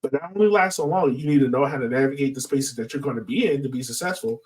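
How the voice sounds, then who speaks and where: A man's voice: medium pitch, lively intonation, fast, a little mellow. A man hosting a podcast in a casual, conversational style, explaining his points and checking in as he goes. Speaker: podcast host. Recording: voice distant from the microphone.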